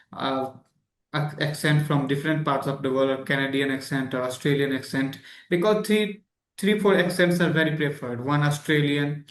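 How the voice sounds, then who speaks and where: A man hosts a casual chat, speaking hesitantly and pausing to find words. His voice sits slightly high for a man and echoes in a reverberant room. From India. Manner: hesitant, many fillers and restarts. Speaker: channel host. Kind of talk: casual interview.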